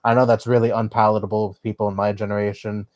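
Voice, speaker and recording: Young male streamer, low-pitched, blunt chatty rambling, dry close mic. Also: level tone, quick pace, dry recording. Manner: blunt, swears often, rambling opinions. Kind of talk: casual live-stream chat.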